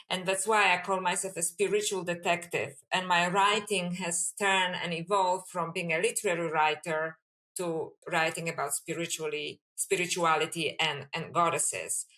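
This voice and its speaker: A woman's voice: fairly low pitch, sharp tone. A woman with a Polish accent, an author and academic, answering interview questions in a thoughtful, musing way.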